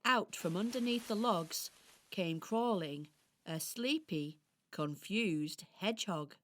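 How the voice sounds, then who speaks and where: A woman's voice: mid-range voice, slow, a little hiss or hum. A woman narrating a scripted children's story for a radio play.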